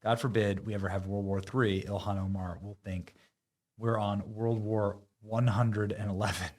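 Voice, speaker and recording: A man's voice: lowish voice, little pitch movement, moderate pace, darkish tone. A man hosting online political commentary, talking in a mocking, sarcastic and emphatic way.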